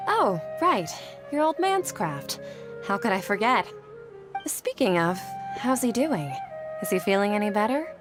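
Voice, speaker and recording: A woman's voice: medium pitch, very animated, a little slow. A woman acting an earnest, polite and devout young desert woman in a video game. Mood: friendly, concerned. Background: game music.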